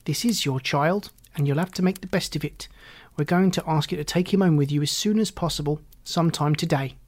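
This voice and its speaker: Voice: medium pitch, fairly quick, a little mellow, lightly acted. A narrator voicing a severe, prim woman nurse who speaks in a commanding, insistent way in a storybook reading.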